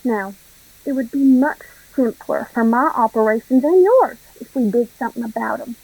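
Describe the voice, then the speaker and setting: A woman's voice: on the high side, moderate pace, a little mellow, breathy edge, no echo, rough recording. A woman playing a smug, scheming Southern crime boss in a radio play, with an effusive, mock-genteel drawl. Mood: sly, persuasive.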